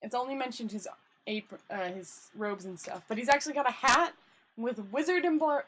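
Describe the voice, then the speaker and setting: A woman's voice: a little high, a little fast, fairly bright tone, a little hiss or hum. A woman storyteller reading a book aloud informally, stumbling over words and correcting herself, with casual commentary. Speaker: storyteller, channel host.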